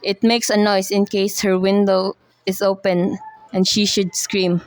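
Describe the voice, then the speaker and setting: Cold, flat-toned crime boss played by a woman. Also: medium pitch, a little slow, dry recording, natural voice, poor audio. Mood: cold, commanding. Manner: matter-of-fact, commanding. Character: cold, businesslike crime boss ordering a murder. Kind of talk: radio play acting.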